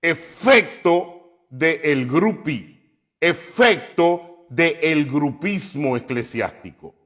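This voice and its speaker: A man preaching on the radio, slow and emphatic, climbing to loud, impassioned peaks. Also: a little high, animated. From New York. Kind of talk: preaching commentary.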